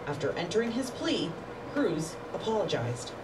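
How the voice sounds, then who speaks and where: A woman's voice: on the low side, sing-song, normal speed. A woman narrating a scripted television news report. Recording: distant, noisy broadcast monitor feed.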